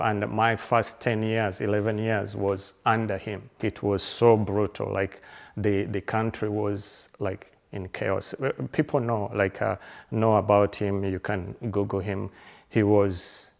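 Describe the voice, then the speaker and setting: A man in his fifties from Uganda, speaking in a halting, earnest way, with many fillers and restarted phrases. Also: lowish voice, little pitch movement, creaky. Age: 50s. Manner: earnest and reflective. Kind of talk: reflective personal conversation.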